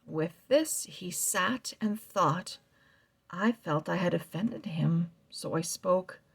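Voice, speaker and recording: A woman reading a children's novel aloud, slow and expressive, sometimes dropping to a soft whisper. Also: on the low side, vocal fry, breathy edge. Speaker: read-aloud narrator.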